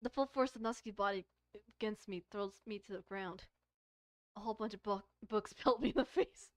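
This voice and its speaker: A woman's voice: medium pitch, fairly quick, no echo, clean studio sound. A woman streamer reading a visual novel aloud expressively, mixing dramatic narration with casual commentary and stumbling over words.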